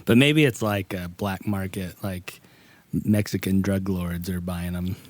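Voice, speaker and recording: A raspy man from Utah hosts a loose comedy podcast with friends. His voice sits on the low side and sounds dry and close. He plays it deadpan and a little clueless. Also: measured pace, no echo. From American Fork, Utah. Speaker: podcast host. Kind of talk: casual comedic chat.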